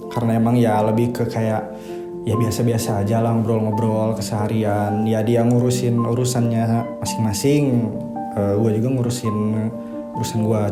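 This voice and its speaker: A man of twenty-one answering interview questions in relaxed, hesitant casual chat. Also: medium pitch. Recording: echoey room with music behind.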